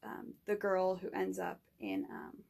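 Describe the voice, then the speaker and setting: A young woman student pitching her own project in casual conversation, rambling with fillers and restarts. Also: big pitch swings, measured pace, creaky, a little mellow. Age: young adult.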